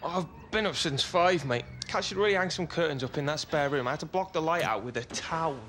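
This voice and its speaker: An actor playing a cheeky, loud, matey young English best friend in a video game scene. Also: male voice, a little high, fairly quick, a little hiss or hum, close to an everyday voice. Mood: hungry, complaining. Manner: bantering, matey.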